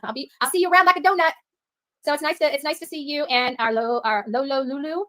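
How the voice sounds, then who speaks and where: A woman with a high voice hosts a rambling livestream at a quick clip. She is emphatic and often repeats herself, recorded dry with hardly any echo. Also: bright, forceful tone. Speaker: livestream host reading viewer chat. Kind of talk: rambling live-stream chat.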